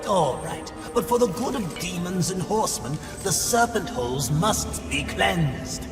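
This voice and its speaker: A man's voice: high voice, lively intonation, measured pace, in a large hall. An actor playing a sly, theatrical demon merchant in a video game, talking like a grandiose, wheedling salesman. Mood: scheming. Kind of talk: video game cutscene acting. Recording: background music under the voice.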